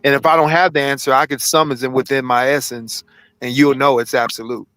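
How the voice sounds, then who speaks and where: A man's voice: medium pitch, steady tone, brisk, a bit bright, slight background noise, rough recording. A man hosting a livestream in casual chat, rambling, profane and self-assured. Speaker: livestream host.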